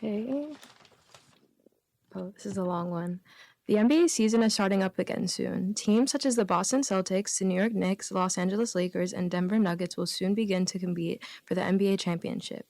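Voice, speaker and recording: A woman's voice: medium pitch, fairly quick, gravelly, darkish tone, dry recording. A woman guesting on a comedy radio show, reading out written jokes with casual asides.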